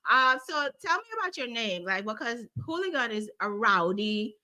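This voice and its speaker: A woman's voice: fairly high pitch, animated, moderate pace, sharp tone. A woman from Guyana hosting a casual interview, asking bubbly, curious questions and laughing often. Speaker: interview host.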